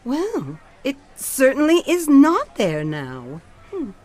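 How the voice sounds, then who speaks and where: A polite, animated fair organizer. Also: female voice, high-pitched, slow pace, full character voice. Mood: placating. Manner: polite, placating. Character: polite, composed woman running a county fair contest. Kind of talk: comic audio drama acting.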